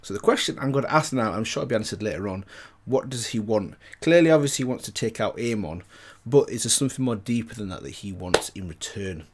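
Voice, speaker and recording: A man hosting a reaction video, talking casually and excitably. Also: lowish voice, fast talker, slight background noise. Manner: animated, excitable.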